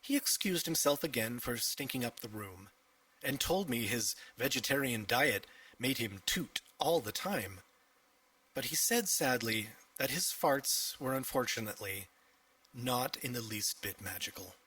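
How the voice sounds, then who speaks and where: A man's voice: mid-range voice, animated, measured pace, pushed and bright, husky, far from the mic, rough recording. A man narrating a scripted children's story as an audiobook reader.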